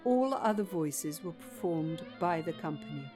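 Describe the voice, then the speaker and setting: A woman's voice: low voice, lively intonation, a little slow, warm tone, soft background music. A woman reading scripted closing credits as the announcer of an audio drama. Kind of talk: scripted narration.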